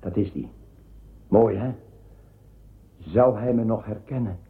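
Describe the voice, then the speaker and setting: An actor playing a sly, imperious emperor in a science-fiction audio drama, speaking in a measured, commanding way. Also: male voice, on the low side, breathy edge, exaggerated voice. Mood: nostalgic. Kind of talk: science-fiction radio play acting. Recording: narrow phone-like band, faint noise.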